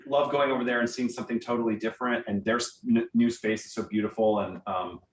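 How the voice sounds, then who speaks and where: A man's voice: steady tone, fast. Male, theater director at an informal Q&A. Enthusiastic and conversational, distant mic in an echoey room. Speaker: guest speaker, theater artistic director. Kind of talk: informal question-and-answer talk to a group. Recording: roomy, distant mic, faint rumbling noise.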